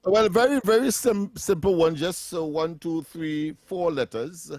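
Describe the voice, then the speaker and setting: A man's voice: fairly high pitch, a little slow, a little mellow, no room sound. A middle-aged Trinidadian man co-hosting a radio talk show, explaining words with frequent laughs. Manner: laughs often, lectures on words. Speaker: radio co-host. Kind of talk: casual radio chat. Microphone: studio mic.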